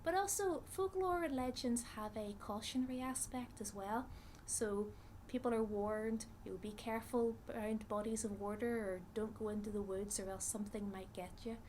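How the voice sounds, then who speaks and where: A woman from Northern Ireland with a Northern Irish accent, a psychology researcher explaining ideas in a measured way with frequent ums. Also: medium pitch, a little mellow, a little hiss or hum, poor audio. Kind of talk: explanatory interview talk.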